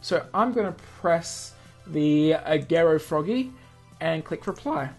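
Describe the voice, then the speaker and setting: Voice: medium pitch, expressive, unhurried, slightly rough. A let's play host narrates and comments on a visual novel. The delivery rambles and corrects itself along the way, with music playing behind the voice.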